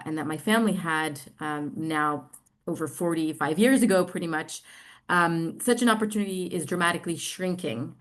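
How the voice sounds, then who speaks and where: A middle-aged woman with an American accent and a slightly low voice. She presents to an online group in a halting way, pausing often and stretching out words as she explains. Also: a bit bright. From New York, United States. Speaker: social enterprise representative presenting.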